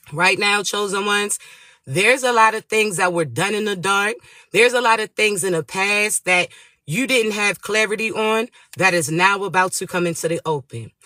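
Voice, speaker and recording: A man with a raspy voice hosting a livestream spiritual reading, speaking slowly and affectionately and checking in with listeners often. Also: high-pitched, sharp tone, no room sound, clean studio sound. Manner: affectionate, addresses listeners as chosen ones and babies; slow, deliberate delivery with frequent check-ins.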